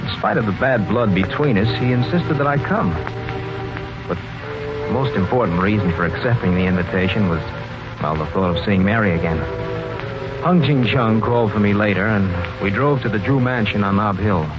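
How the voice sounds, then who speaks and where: A man's voice: on the low side, moderate pace, lightly acted. An actor playing an earnest young man who narrates a murder mystery and acts in it, in 1940s radio style. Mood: tense narration. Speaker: narrator and lead character. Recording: muffled 1940s radio, loud music behind.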